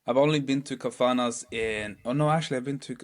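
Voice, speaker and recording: A man from Norway, a travel vlogger guest, chatting in a relaxed way and repeating words as he thinks aloud. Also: medium pitch, fast. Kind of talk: casual conversation.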